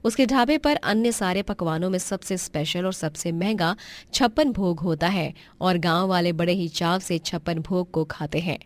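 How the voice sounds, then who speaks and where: A woman's voice: fairly low pitch, normal speed, hardly any pauses, no echo. Woman narrating a story, dry sound.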